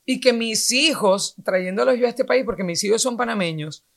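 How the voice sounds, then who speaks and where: A woman's voice: fairly high pitch, normal speed, gravelly. A Venezuelan woman in her forties, a guest in a casual chat, talking in an earnest, explanatory way.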